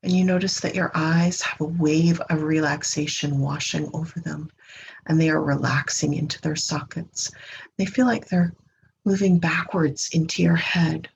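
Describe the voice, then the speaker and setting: A woman leading a guided meditation in a gentle, unhurried manner, leaving long pauses and trailing off breathily at the ends of phrases. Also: low voice, fairly even intonation. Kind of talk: guided meditation, slow soothing instruction. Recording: dull lo-fi recording with clear background noise.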